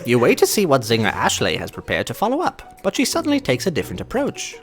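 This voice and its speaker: A man's voice: mid-range voice, huge pitch swings, quick pace, over music. A young man hosting a let's-play, reading game narration theatrically and bantering casually. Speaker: gaming channel host.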